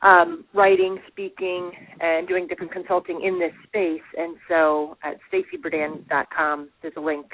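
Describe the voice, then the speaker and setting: A woman guest being interviewed, answering earnestly and quickly with frequent fillers. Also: fairly low pitch, little pitch movement, no echo. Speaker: author guest. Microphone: phone. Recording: tinny phone line.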